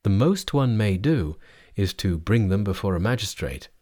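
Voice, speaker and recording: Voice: low-pitched, lively intonation, moderate pace, a little mellow. A storyteller voicing a pious male priest, grave and moralizing, in a storybook tale. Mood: reproachful. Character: solemn, reproachful pious priest, Monkey's master. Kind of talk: storybook character voice.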